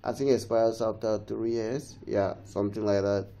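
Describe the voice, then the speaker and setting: A man's voice: on the low side, fairly even intonation, normal speed, dark tone. A man with a cold giving a casual, rambling phone tutorial, often restarting his sentences and urging viewers along. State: has a cold. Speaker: tech tutorial host. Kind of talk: casual screen-recorded tutorial.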